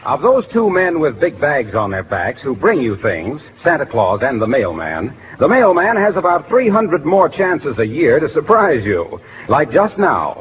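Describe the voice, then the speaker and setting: A man's voice: a little high, a little hiss or hum. A man announcing in polished, formal 1940s radio style, reading scripted introductions and sponsor copy. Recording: thin, phone-like 1940s radio recording.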